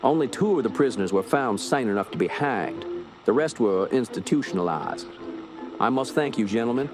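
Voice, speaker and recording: A man performs a skeptical Louisiana inspector in a radio play. He has a mid-range voice with a Southern accent and a measured, folksy courtesy. Music plays clearly underneath. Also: medium pitch, animated, close to an everyday voice, rough recording. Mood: courteous, grave. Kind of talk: radio drama acting.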